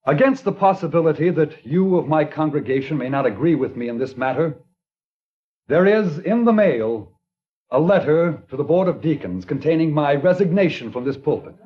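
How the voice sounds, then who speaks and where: An actor playing an earnest small-town preacher in a 1950s radio drama, reading scripture in a measured, solemn way. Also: male voice, on the high side, expressive, close to an everyday voice. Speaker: reverend. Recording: dull old radio transcription.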